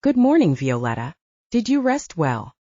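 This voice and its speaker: Audiobook role: confident young man, teasing and courtly, performed by a woman. Mellow tone, slightly lo-fi recording. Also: lowish voice, very animated, normal speed, no echo. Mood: warm greeting. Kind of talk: audiobook character dialogue.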